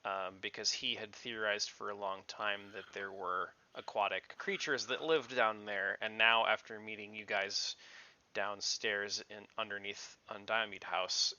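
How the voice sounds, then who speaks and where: Man, game master, casual, bright tone, muffled recording. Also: fairly low pitch. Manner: casual, laughs easily, swears. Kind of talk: running an online tabletop game. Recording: muffled lo-fi mic.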